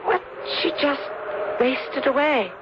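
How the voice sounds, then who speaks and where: An actress playing a frail, trusting young gentlewoman in an old-time radio drama, with a hesitant, delicate, fluttery delivery. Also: sits high, animated, slow pace. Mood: wistful, trusting. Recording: dull, noisy old radio broadcast.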